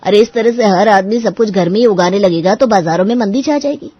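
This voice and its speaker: A woman's voice: medium pitch, fairly quick, warm tone, breathy edge, no echo, dull audio, natural voice. A woman playing a stern, scolding male government official handing out fines in a cartoon story. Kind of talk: Hindi moral story cartoon acting.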